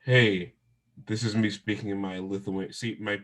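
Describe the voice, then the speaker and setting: A man co-hosting a podcast in casual chat, joking with a deadpan delivery. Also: lowish voice, moderate pace. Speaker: podcast co-host.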